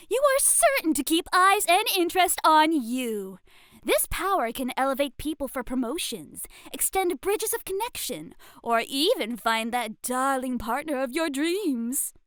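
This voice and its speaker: A woman's voice: sits high, sing-song, a little slow, a bit bright, no echo, clean studio sound, full character voice. A woman voicing an exuberant, refined etiquette teacher in an ASMR roleplay, with theatrical, gushing delivery and elegant, formal diction. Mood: teasing.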